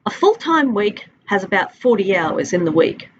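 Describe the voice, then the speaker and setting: A woman's voice: medium pitch, big pitch swings, normal speed, muffled recording, slight background noise. A middle-aged Australian woman, a university instructor recording a friendly, conversational course introduction from her office. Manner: friendly, conversational, stretches out listed items. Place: office with a large window. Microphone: webcam at desk.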